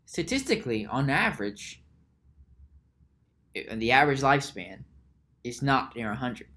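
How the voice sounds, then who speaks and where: A man hosting a solo podcast, musing slowly aloud with frequent restarts and repeated words. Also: very animated, bright, forceful tone. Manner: reads search results aloud in a low mutter. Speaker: podcast host.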